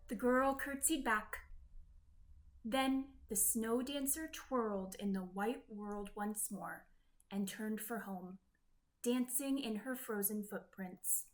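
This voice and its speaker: Slow-paced woman reading a children's story. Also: fairly high pitch, rough recording. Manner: deliberate, dramatic delivery with acted-out sound words. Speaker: storybook reader. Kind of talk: expressive children's read-aloud narration.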